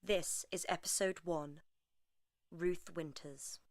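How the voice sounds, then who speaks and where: A female announcer delivers a scripted podcast introduction. Her voice sits a little on the low side. The sound is close and dry, without room echo. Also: fairly even intonation, slow pace. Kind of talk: scripted podcast credits narration.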